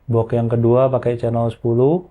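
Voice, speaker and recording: A man explaining software in an informal, hands-on tutorial, using colloquial tags as he goes. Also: medium pitch, flat delivery, normal speed, narrow, tinny audio. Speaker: audio software trainer.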